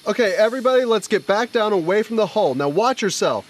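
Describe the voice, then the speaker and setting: A man playing a commanding fire chief in a radio drama, brisk and authoritative as he gives orders. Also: high-pitched, animated. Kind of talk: modern radio drama acting. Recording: loud crowd and vehicle noise behind.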